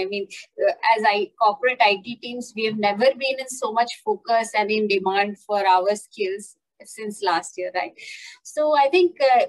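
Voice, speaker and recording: Indian woman on a webcam panel, steady mid voice, lots of you knows. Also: mid-range voice, low-quality recording. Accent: Indian English. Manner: fluent, with "you know" fillers. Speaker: panelist, company IT leader. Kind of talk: video-call panel discussion. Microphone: webcam on a video call.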